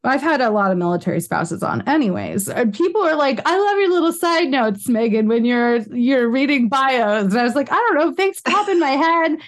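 A woman's voice: sits high, big pitch swings, fairly quick, few pauses. An American woman in her thirties hosting a podcast interview, chatting casually with rambling side notes and frequent laughs. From United States. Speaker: podcast host.

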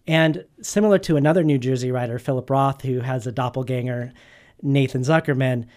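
A man's voice: mid-range voice, fairly even intonation, a little fast, a little mellow. A man interviewing an author, asking long prepared questions in an earnest way with frequent restarts.

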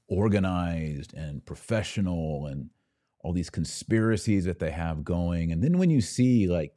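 Very deep, mellow-voiced male podcast host. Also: no room sound, clean studio sound. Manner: conversational, wry joking asides. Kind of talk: casual livestream commentary, reading out viewer comments.

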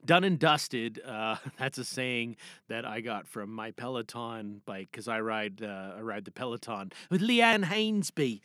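Animated man hosting a podcast, gravelly edge. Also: fairly high pitch, no room sound, studio quality. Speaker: podcast host and amateur voice performer. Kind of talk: casual chatty podcast hosting.

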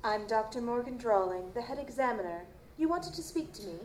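A woman playing a guarded, formal chief medical examiner in an audio drama, measured and dismissive. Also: a little high, animated, natural voice. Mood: cool, guarded. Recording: roomy, noisy live stage recording.

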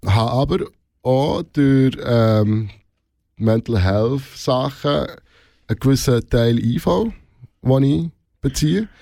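A middle-aged man with a Swiss German accent, talking casually and reflectively in an interview, a little halting and informal. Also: mid-range voice, vocal fry, mellow, no echo, clean studio sound. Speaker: radio show host being interviewed.

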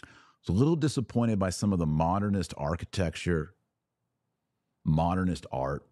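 Podcast host, male, American, low register, dry sound, conversational and wry, talking solo in an informal commentary style. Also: expressive, moderate pace, dark tone, dry recording. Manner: conversational, informal, wryly speculative asides. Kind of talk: casual solo commentary on livestream.

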